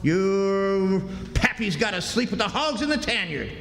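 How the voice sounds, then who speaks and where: A man's voice: sits high, sing-song, unhurried, a bit bright, exaggerated voice. An actor playing a sneering, greedy old backwoods father who scolds in a folksy rustic drawl, in live radio drama style. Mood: mocking. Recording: echoey hall, live stage, hissy background.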